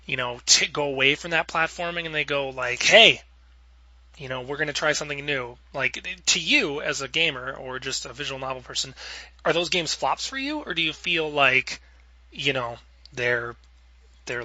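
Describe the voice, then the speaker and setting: A man's voice: a little high, bright, forceful tone, no echo, rough recording. A man hosting a podcast in a casual, rambling style, acting out imagined quotes as he goes. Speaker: podcast host. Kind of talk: casual panel chat.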